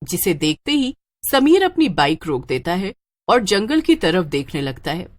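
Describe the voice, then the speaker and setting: A woman narrating a scripted horror story in a dramatic, expressive way. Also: lowish voice, unhurried.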